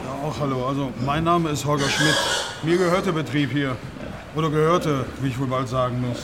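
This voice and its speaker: An actor playing an aggrieved, defensive pig farm owner in a radio drama, halting and repeating himself to justify his case. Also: medium pitch, fairly even intonation, lightly acted. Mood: subdued. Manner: halting, repetitive, self-justifying. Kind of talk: naturalistic radio drama in reportage form. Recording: loud ambient noise of an animal barn.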